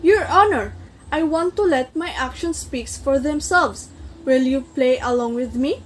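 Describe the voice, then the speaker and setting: A woman acts out an earnest circulatory system pleading its case in amateur reader's theater. The voice is high and demonstrative, instructing formally, with some noise behind it. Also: unhurried.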